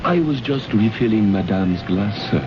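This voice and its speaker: An actor playing a reserved, deferential waiter in an old-time radio drama, a man speaking in a formal, servile, measured manner. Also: on the low side, lively intonation, background noise, natural voice. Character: reserved, deferential male waiter, secretly smitten. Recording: dull vintage radio recording, music behind.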